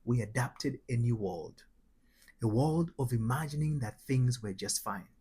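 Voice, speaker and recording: A man with a Tanzanian accent and a husky, slightly rough voice at a medium pitch. He speaks as a spiritual coach, repeating phrases for emphasis and posing rhetorical questions as he teaches through personal anecdotes. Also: animated, measured pace, mellow. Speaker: host, spiritual transformation coach.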